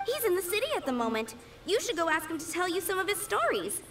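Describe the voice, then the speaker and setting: A cheerful little flower girl from an anime game, sounding a bit far from the mic. The voice is high, sweet and innocent, with dreamy, very animated pitch over music. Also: quick pace. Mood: admiring.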